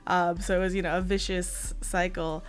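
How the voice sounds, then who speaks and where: A woman hosting a casual livestream chat, her voice hoarse after losing it, giggling and chatting to her viewers. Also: fairly low pitch, flat delivery, normal speed. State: hoarse, partly lost voice. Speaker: streamer host.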